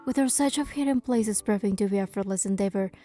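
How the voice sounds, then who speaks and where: A woman's voice: mid-range voice, fast talker, mellow, faint music behind, lightly acted. A woman voicing a composed, playful young noblewoman who narrates her fantasy game adventure in a fan dub. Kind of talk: first-person scripted narration and dialogue, fan dub.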